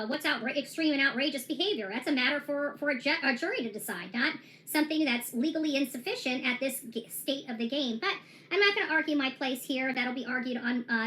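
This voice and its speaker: A woman with a high, bright, sharp-edged voice talks through her own lawsuit in a casual vlog monologue. She rambles and stresses her points, often stopping and restarting her sentences. A faint hiss sits under her voice. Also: low-quality recording. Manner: rambling and emphatic, with frequent restarts. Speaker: self-represented plaintiff giving a case update.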